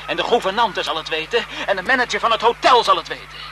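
An actor playing a lovesick, desperate photographer in a melodramatic, impassioned radio thriller style. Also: male voice, high voice, expressive, fast talker, sharp tone. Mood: bitter, threatening. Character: lovesick, desperate male photographer turning bitter and vengeful. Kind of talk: 1970s Dutch radio thriller acting. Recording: noisy 1970s radio drama broadcast.

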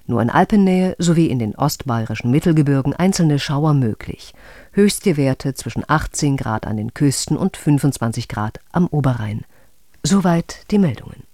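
A newsreader reading a formal radio news bulletin in a measured, even voice. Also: male voice, mid-range voice, dry recording, clean studio sound.

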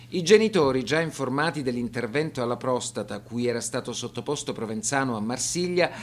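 Actor as higher-pitched male factual narrator. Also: natural voice. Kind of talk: scripted radio drama narration.

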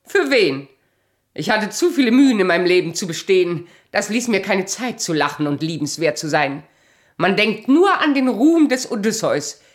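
A woman playing a proud, bitter abandoned wife in a radio drama, sharp-tongued, imperious and sardonic in a theatrical style. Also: medium pitch, big pitch swings, moderate pace, lightly acted. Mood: bitter, reflective.

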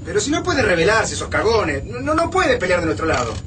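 An actor playing a brash, excitable, combative fighter in a radio drama, with an Argentine Rioplatense accent. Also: male voice, sits high, brisk. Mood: cocky, scornful. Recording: distant mic, clearly noisy ambience.

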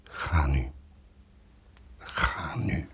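An actor playing a brooding, guilt-ridden sailor in a radio drama from the 1980s, confessing in a deep, husky voice. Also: male voice, lively intonation, extremely slow, fairly bright tone, heavily acted. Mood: anguished, regretful. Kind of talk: 1980s radio drama, intimate narrating monologue.

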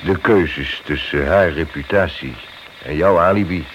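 An actor playing a gruff, commanding, sceptical police inspector in a radio drama, curt and dry. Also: male voice, a bass voice, a little slow, a bit bright, a little hiss or hum. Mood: probing, sceptical. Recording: dull old radio play recording.

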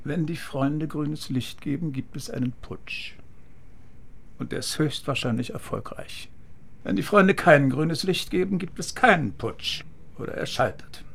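A man playing an older East German general, sardonic, condescending and deliberate, in a radio drama. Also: on the high side, vocal fry, fairly bright tone, slightly rough, full character voice. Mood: grave, measured. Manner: mocking, repetitive, deliberate military speech. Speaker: actor.

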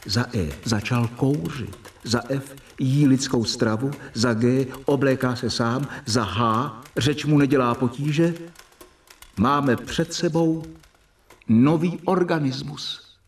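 An actor playing an earnest young doctor in a radio drama, explaining and keeping a diary. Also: male voice, medium pitch, slight background noise, lightly acted. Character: earnest young male doctor, diligent and eager. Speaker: doctor, professor's assistant.

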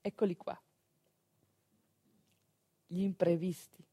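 A female village storyteller, delivering a theatrical monologue. She is emphatic and dramatic, leaning on pauses and repetition. The sound is dry and close, and her voice sits at an average pitch for a woman. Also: darkish tone, dry recording. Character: female storyteller recalling a rural midwife's work. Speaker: stage actress narrating a monologue.

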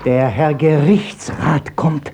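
An actor playing a sly, mocking court clerk with pointed theatrical delivery in a 1950s German radio play. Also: male voice, a little high, fairly even intonation, takes it slowly, warm tone. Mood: sly announcing. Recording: dull, noisy 1950s radio recording.

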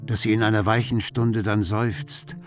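A man's voice: lowish voice, flat delivery, normal speed, faint music behind, natural voice. A man narrating a literary radio play in an observant, composed way. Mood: composed, wry.

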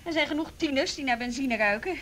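An actress playing a brisk, dutiful juvenile police officer in a naturalistic, matter-of-fact radio play style. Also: female voice, sits high, lively intonation, a bit bright, poor audio. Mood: intrigued, matter-of-fact. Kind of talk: Dutch radio play acting, naturalistic dialogue. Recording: faint background noise.

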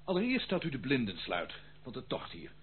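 A man's voice: mid-range voice, wildly swooping pitch, fairly quick. An actor playing a roguish, secretive male intruder in a radio play, nonchalant, teasing and theatrical. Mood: sly, teasing. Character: roguish, secretive prodigal intruder, jaunty and sly. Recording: tinny old radio recording, faint noise.

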